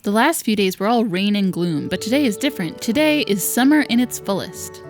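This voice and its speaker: A woman's voice: mid-range voice, expressive, brisk, soft background music, natural voice. A woman voicing a young servant stepdaughter, wry and resentful but patient, reading visual novel lines aloud. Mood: content.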